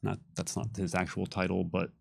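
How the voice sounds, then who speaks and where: A man's voice: sits low, little pitch movement, moderate pace, vocal fry, darkish tone, husky, dry recording. Man explaining casually, close dry sound. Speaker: game designer explaining setting lore. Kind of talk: casual explanatory panel chat.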